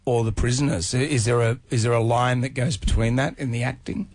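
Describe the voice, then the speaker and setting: A man's voice: mid-range voice, fairly even intonation, a little fast, a little mellow, no room sound. Teasing male radio co-host. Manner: teasing, jokey questions. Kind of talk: playful radio banter.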